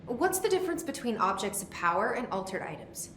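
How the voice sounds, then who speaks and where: A terse guarded young agent. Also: female voice, medium pitch, very animated, fairly quick, a bit bright. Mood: firm. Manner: terse, level, muttered asides. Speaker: lead character searching for her brother. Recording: echoey game cutscene with faint hiss.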